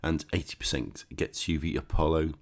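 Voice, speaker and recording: Croaky deep-voiced man with a cold. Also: fairly even intonation, normal speed. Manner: chatty, rambling, sarcastic asides. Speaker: gaming channel host. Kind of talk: casual game walkthrough commentary.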